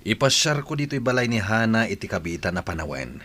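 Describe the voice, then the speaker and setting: A man's voice: fairly low pitch, big pitch swings, fairly quick, bright, forceful tone, slight background noise. A man with a Filipino accent playing an earnest, dutiful young son and boyfriend in a radio drama. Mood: reassuring. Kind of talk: Ilocano radio drama acting.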